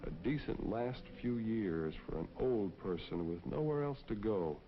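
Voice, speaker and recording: A kindly American beat cop, low-pitched and folksy. He talks earnestly and persuasively in the manner of a 1940s film, with music playing behind him on a dull vintage soundtrack. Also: expressive, measured pace. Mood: earnest, proud. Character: kindly, earnest neighborhood policeman, a man. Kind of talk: 1940s propaganda film acting and narration.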